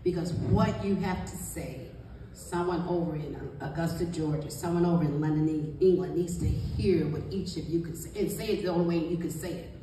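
Deep-voiced woman speaking in an echoey room. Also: fairly quick, warm tone. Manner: emphatic, encouraging. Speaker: guest author and book coach. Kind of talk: motivational panel talk to an audience. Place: small indoor meeting room. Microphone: handheld mic, captured by distant camera. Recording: distant, very echoey room, faint noise.

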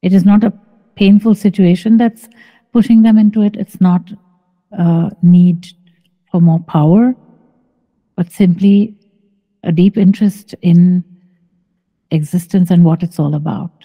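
A middle-aged woman, a spiritual teacher, speaking calmly and deliberately, repeating her key phrases as she teaches. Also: lowish voice, big-room sound, dull audio. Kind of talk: satsang teaching, measured and deliberate.

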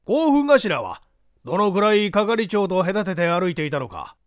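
Mystery audio story: stern male judge acted by a male reader, blunt interrogator, high and smooth-toned. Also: very animated, normal speed, no room sound, studio quality. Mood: stern, commanding. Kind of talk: dramatised mystery story reading.

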